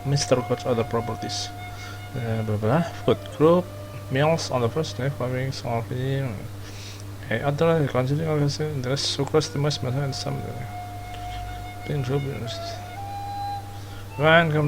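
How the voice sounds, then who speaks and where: A man's voice: medium pitch, normal speed, vocal fry, rough recording. A man with an Indonesian accent giving casual game commentary, mumbling along with the dialogue. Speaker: game streamer. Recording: game music behind the voice.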